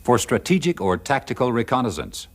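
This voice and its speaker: A man's voice: medium pitch, very animated, brisk. A man reading scripted narration for a military training film, on a noisy old soundtrack.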